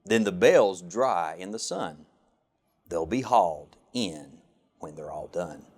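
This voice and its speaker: A man reading a children's picture book aloud with an expressive, sing-song rhyming delivery. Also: sits low, takes it slowly. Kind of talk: storybook read-aloud.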